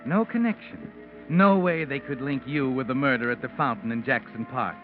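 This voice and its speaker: An actor playing an ominous, taunting mystery narrator in a 1940s radio drama, speaking in a knowing, deliberate way. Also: male voice, mid-range voice, lightly acted. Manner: knowing, deliberate, sinister storytelling. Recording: muffled vintage radio recording with music.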